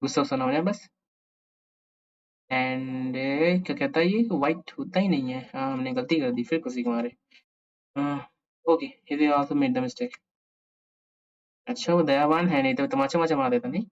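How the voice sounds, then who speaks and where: A man's voice: mid-range voice, normal speed, pauses often. A man with an Indian accent giving a casual coding tutorial, thinking aloud playfully and mixing Hindi with English. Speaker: programming tutorial host. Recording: dull lo-fi microphone sound.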